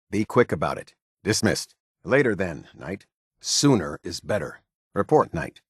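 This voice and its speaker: Husky, low-voiced stern military officer. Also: male voice, dry recording, clean studio sound. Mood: stern, commanding. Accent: American. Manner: clipped, authoritative, measured military formality. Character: stern, formal male military officer of a post-apocalyptic order. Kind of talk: video game character dialogue.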